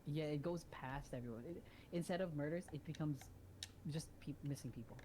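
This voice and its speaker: A woman chatting casually on a live stream, rambling in an animated way, repeating phrases and swearing freely. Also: low voice, a little fast, low-quality recording. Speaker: streamer telling friends a story idea. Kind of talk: casual chat on a voice call.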